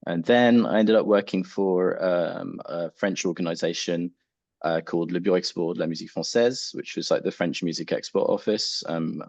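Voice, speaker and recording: A man from England with an English accent introducing himself on a panel, polite and hesitant with many pauses. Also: sits low, husky, dry recording. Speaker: panelist, music export professional. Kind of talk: conference panel talk.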